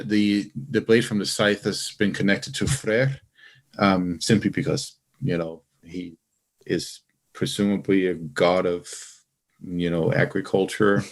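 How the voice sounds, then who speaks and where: A man in casual podcast conversation, explaining things in a careful, qualified way. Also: lowish voice. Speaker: podcast host.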